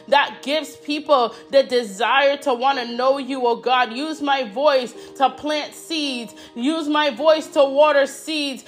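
A woman leading a fervent spoken prayer, repeating her petitions and calling out "oh God" between phrases. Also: high-pitched. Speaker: prayer leader.

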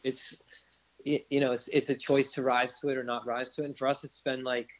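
An older man, a podcast guest, speaking reflectively and haltingly with many restarts. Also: medium pitch, little pitch movement, poor audio. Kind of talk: casual interview chat.

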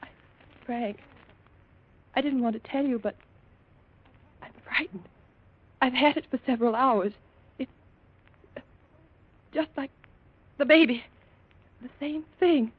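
Radio drama wife: high voice, frightened and faltering, dull vintage sound. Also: female voice, husky, dry recording, heavily acted. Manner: halting, melodramatic. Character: distraught, frightened wife of a rich mine owner. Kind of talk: 1940s radio drama acting. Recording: dull, lo-fi old radio recording.

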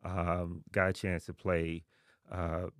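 A man's voice: low-pitched, level tone, slow pace, fairly bright tone, no room sound, clean studio sound. A man in his forties, born in Haiti and raised in Queens, speaking as a podcast guest in an easygoing way with frequent filler phrases. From born in Haiti, raised in Queens, New York. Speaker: podcast guest, college dean. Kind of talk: relaxed conversational interview.

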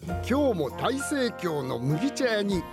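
A woman narrating a light radio comedy in a measured, presentational scripted style. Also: mid-range voice, big pitch swings, over music.

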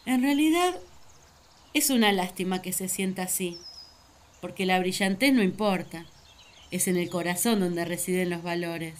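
A woman's voice: fairly low pitch, moderate pace, slight background noise, natural voice. A woman playing Eve, an earnest, talkative young first woman, telling her story in a radio play. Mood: reflective. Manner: earnest, wistful, storytelling to the listener.

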